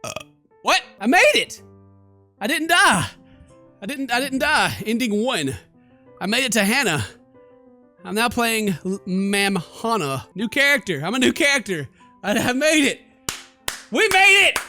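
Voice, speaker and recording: Animated man playing a horror game. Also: extremely high pitch, unhurried, long pauses, faint music behind. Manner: exclamatory, jokey, slangy. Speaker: let's player. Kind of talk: horror game playthrough commentary, reading dialogue aloud.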